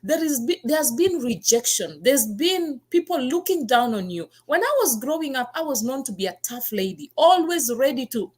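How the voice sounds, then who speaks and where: Kenyan woman, kenyan accent, high voice, swooping lively pitch, earnest talk show host. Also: normal speed, few pauses, slightly rough. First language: her Kenyan mother tongue. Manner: earnest, emphatic, animated storytelling. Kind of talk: personal storytelling talk.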